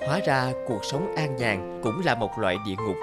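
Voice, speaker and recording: A man's voice: mid-range voice, lively intonation, normal speed. A man giving scripted narration of a moral folk tale. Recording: loud music behind the voice.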